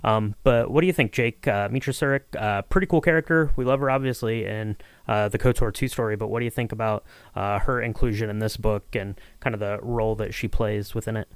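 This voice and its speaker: A man's voice: fairly low pitch, fairly quick, clean tone, dry recording. A man hosting a casual podcast discussion in a conversational manner, steering it along. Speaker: podcast host.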